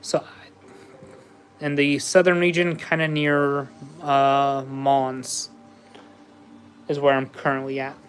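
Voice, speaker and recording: A man's voice: mid-range voice, level tone, takes it slowly, long pauses, faint music behind. A man chatting casually with viewers while building a Lego set on a livestream, easygoing and laughing often. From USA. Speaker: livestream host.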